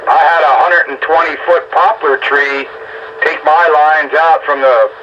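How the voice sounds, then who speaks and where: A man's voice: a little high, steady tone, unhurried. A man chatting casually over two-way radio in a folksy, informal way. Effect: two-way radio transmission. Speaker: amateur radio net participant. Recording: muffled, lightly noisy ham radio transmission.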